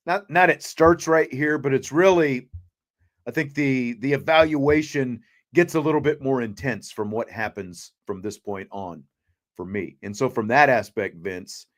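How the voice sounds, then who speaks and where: A man hosting a sports talk show, speaking off the cuff in a wordy way that repeats and rephrases his points. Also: mid-range voice. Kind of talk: unscripted sports discussion.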